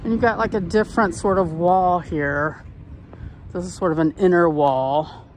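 A woman talks her way through a walking tour, chatty and relaxed as she points out sights along the street. Her voice sits a little low. Faint outdoor ambience hums behind her. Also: normal speed, a little hiss or hum. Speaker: tour guide. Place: outdoors on old town streets.

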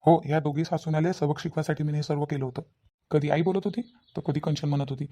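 Man voicing an exasperated, fast-talking young cartoon husband. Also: fairly high pitch, steady tone, warm tone, lightly acted. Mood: exasperated, smug. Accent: Varhadi Marathi (Vidarbha). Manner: self-justifying, repetitive, emphatic. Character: exasperated, scheming newly married young husband. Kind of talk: village cartoon dialogue.

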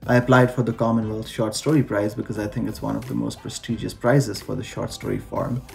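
A man from Pakistan, a short story writer, speaking in a measured, thoughtful way about his work. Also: lowish voice, level tone, gravelly. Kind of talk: promotional video testimony. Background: soft background music.

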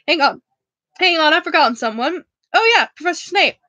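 A man's voice: very high voice, expressive, a little fast, sharp tone, no room sound, dull audio, full character voice. A woman voicing a big, proud, bumbling half-giant gamekeeper in a storybook read-aloud, blustering in rambling bursts. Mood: gruff, chatty.

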